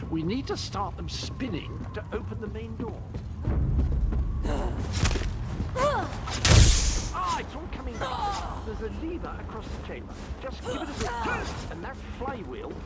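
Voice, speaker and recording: An actor playing a flamboyant, jovially eccentric video game guide, theatrical, airy and drily ironic. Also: male voice, very high-pitched, huge pitch swings, normal speed, hardly any pauses, heavy background noise, exaggerated voice. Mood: pleased, brisk. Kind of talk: video game character dialogue.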